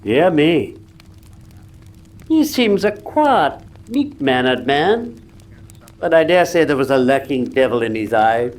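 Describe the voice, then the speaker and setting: An actor playing a shrewd, commanding gentleman detective, dryly ironic and articulate, in a radio drama. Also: a little high, big pitch swings, slow pace, long pauses. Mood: musing, assured.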